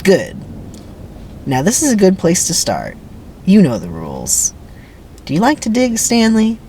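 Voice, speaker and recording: Male camp counselor acted out by a script reader: falsely friendly, emphatic, highly animated, faint background noise. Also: a little slow. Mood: earnest, encouraging. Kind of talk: dramatic script reading.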